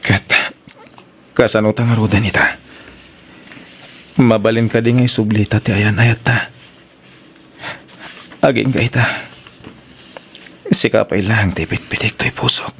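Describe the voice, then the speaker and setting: A man's voice: on the low side, steady tone, measured pace, gravelly, long pauses, a bit bright. A man acting a heartbroken, devoted young man in an Ilocano romance drama, earnest and wistful, with an Ilocano accent and music behind him. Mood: yearning, hurt. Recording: music bed under the voice.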